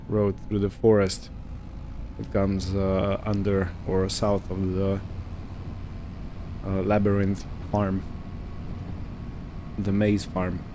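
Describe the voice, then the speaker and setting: A man giving casual roleplay gameplay commentary, unhurried and thinking aloud as he goes. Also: on the low side, background noise. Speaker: gaming video host.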